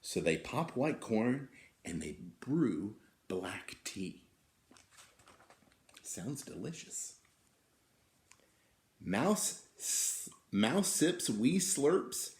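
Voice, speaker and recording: A man reading a picture book aloud to children in an expressive, drawn-out way, inviting them to join in. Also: medium pitch, creaky. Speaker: teacher reading a story to children. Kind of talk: animated picture-book storytelling. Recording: roomy, echoing indoor sound.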